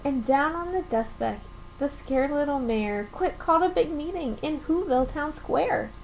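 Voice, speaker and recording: A woman reading a children's storybook aloud as a teacher at story time, in an animated style. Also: sits high, normal speed, poor audio. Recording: faint background noise.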